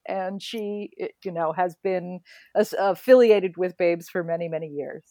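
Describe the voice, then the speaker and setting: A woman's voice: lowish voice, unhurried, warm tone, no room sound, clean studio sound. A middle-aged woman co-hosting a casual podcast, talking with enthusiasm as she introduces her guest. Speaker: co-host.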